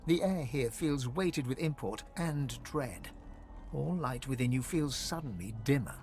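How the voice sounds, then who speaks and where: An ominous male narrator of a fantasy video game. He tells the story solemnly and dramatically, with a very animated pitch range. Faint music sits underneath. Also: unhurried.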